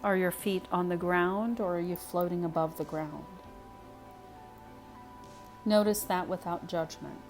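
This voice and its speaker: Woman, meditation guide, very slow pace, soothing phrasing with pauses, music behind. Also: on the low side, steady tone.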